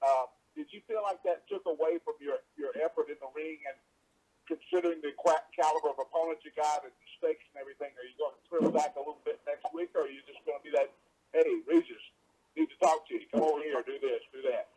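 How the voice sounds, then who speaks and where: A man with a raspy, softened tone and a somewhat high pitch puts questions on a conference call. He is a sports reporter who rambles haltingly through them. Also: poor audio.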